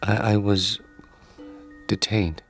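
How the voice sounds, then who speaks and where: An actor in a radio drama playing a timid, lonely grown son who speaks politely, hesitantly and with a stammer. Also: male voice, on the low side, steady tone, slow, faint music behind. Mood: meek, evasive.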